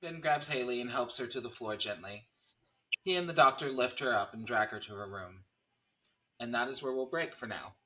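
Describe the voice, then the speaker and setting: A man's voice: mid-range voice, moderate pace, bright, forceful tone, poor audio. A man reading stage directions as narrator in a scripted table read of a screenplay.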